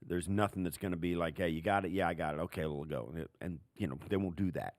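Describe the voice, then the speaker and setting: A man with an American accent giving casual football analysis as a podcast co-host, in a loose, halting way that piles up examples. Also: sits low, darkish tone, dry recording, studio quality.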